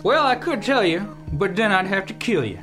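Comic radio play role: blustering folksy old general turned restaurateur, male actor, self-important and halting, music bed. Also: high-pitched, big pitch swings, low-quality recording. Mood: confiding, solemn. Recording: music under the dialogue.

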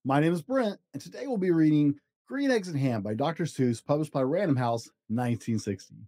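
A man hosting a read-aloud podcast for children, with an expressive, sing-song storybook delivery. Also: fairly high pitch, brisk, no room sound, clean studio sound. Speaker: podcast host reading a children's book.

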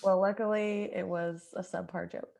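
A woman's voice: medium pitch, fairly even intonation, moderate pace, gravelly, a little mellow. A woman hosting a comedy podcast in a casual, chatty style. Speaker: podcast host.

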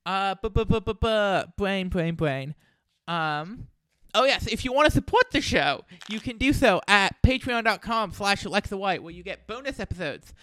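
A male podcast host with a high-pitched, very clear voice, recorded close and dry. He chats informally and rambles along in a casual, conversational way. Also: bright, forceful tone, dry recording. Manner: chatty, informal, rambling sign-off.

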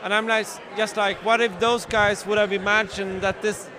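Guest, man from Sweden, high pitch, chatty with restarts; crowded bar behind. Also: little pitch movement, normal speed, a crowd in the background. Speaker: guest, toy collector. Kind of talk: casual chat. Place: busy hotel bar.

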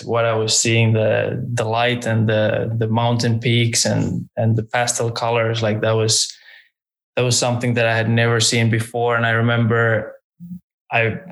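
Guy, photographer guest, slow and hesitant, keeps restarting. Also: medium pitch, low-quality recording. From Finland. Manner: hesitant, many restarts and fillers. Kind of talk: casual interview talk.

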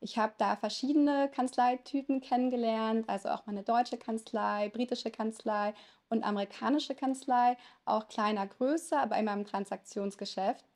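Chatty woman lawyer, slightly high voice. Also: steady tone, normal speed, a little mellow. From Lower Saxony, Germany. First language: Italian. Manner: chatty, frequent hesitation fillers. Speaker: lawyer, podcast guest. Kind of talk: conversational interview answers.

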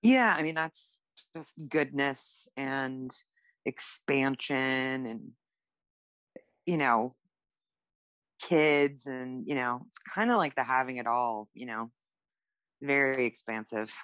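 Low-voiced, playful woman. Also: slow, pauses often, fairly bright tone, no room sound. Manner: playful, irreverent, self-deprecating. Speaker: podcast co-host. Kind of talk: casual banter on a tarot quiz podcast.

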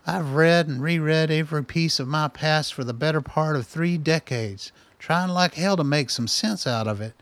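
An actor playing a weary, stubborn older scientist in hiding, terse and guarded, in a radio drama. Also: male voice, a little high, moderate pace, few pauses, no echo, close to an everyday voice. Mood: weary, resigned.

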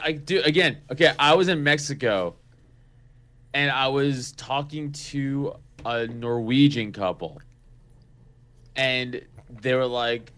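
A man's voice: medium pitch, slow, fairly bright tone. A man chatting casually on a podcast, blunt and emphatic, swearing constantly.

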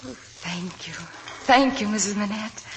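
An actress playing an earnest, shy young working woman, tender and wistful, speaking softly in a 1940s radio drama. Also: medium pitch, a little slow, a little hiss or hum, close to an everyday voice, rough recording. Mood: nervous, earnest. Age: young adult. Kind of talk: 1940s radio drama acting, dialogue and narration. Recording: vintage 1940s radio broadcast, music behind.

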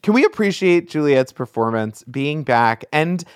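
A man's voice: a little high, very animated, a little slow, clear, resonant tone, no room sound, clean studio sound. A man co-hosting a podcast, chatting casually and enthusiastically as a fan. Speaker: podcast co-host.

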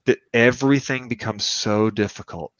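A man in his thirties speaks in a low voice, chatting as a podcast guest and pressing his opinions with emphasis. His talk wanders through restarts as he builds his points. The audio is dry and a little dull, like a lo-fi podcast mic. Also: fairly even intonation, moderate pace, dry recording. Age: 30s. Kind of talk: casual conversational podcast chat.

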